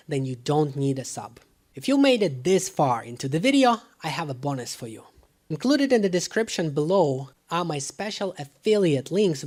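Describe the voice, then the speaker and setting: Man with lively, swinging intonation. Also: fairly high pitch. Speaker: product reviewer and musician. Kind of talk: scripted product review to camera. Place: living room at home. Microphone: dedicated microphone.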